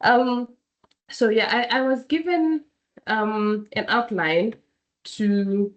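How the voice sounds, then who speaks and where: A woman's voice: on the high side, takes it slowly, dull audio. A woman from Botswana with a cold, giving a keynote talk on a video call, hesitant and full of ums. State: has a cold. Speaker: keynote speaker. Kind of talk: online webinar presentation.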